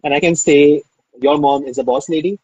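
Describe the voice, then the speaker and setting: A man's voice: fairly high pitch, steady tone, brisk, a little mellow, slightly rough, dry recording, highs cut off. A man hosting a live online interview, enthusiastic and full of fillers, who keeps calling things amazing. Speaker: interview host.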